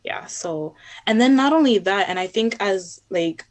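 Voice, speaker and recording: A young woman who has studied abroad, speaking reflectively in a casual chat with drawn-out fillers. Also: medium pitch, animated, a little hiss or hum. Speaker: guest speaker, student abroad.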